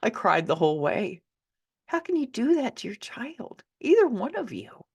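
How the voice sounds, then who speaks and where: A woman's voice: a little high, very animated, moderate pace, creaky, breathy edge. A woman in her fifties reading her own memoir as an audiobook, with theatrical, emotive and emphatic delivery. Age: 50s. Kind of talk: dramatic first-person audiobook narration.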